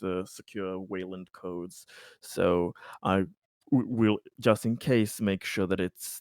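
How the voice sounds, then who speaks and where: A man speaking formally and haltingly, repeating words as he plays a tabletop roleplaying game. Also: fairly low pitch, a little mellow, no room sound, clean studio sound. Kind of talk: in-character tabletop roleplay discussion.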